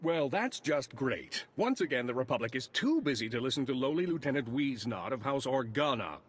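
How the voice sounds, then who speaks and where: A whiny, self-important lieutenant from a video game. His pitch swings widely and the voice is a little husky. He sounds pompous and aggrieved. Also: big pitch swings, a little fast. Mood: petulant, self-important. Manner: pompous, petulant.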